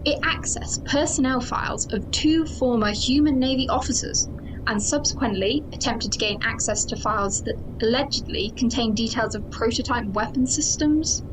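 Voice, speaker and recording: A woman's voice: a little high, normal speed, background noise, close to an everyday voice. A woman playing a hard-line, suspicious military security chief in an audio drama, speaking in a clipped, formal way. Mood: stern, formal.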